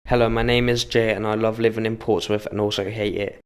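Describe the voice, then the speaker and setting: Brief: young man, smooth clear voice, low and flat, candid. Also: fairly quick. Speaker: young film participant. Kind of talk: personal testimony for a short film.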